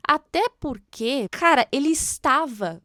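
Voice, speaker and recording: A Brazilian woman with a fairly high voice hosts a casual book discussion. She explains her points in an expressive, conversational way and often poses rhetorical questions. The sound is very dry, with almost no room around it. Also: a little slow, dry recording. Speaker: book commentary host. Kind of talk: casual read-along commentary with fan theories.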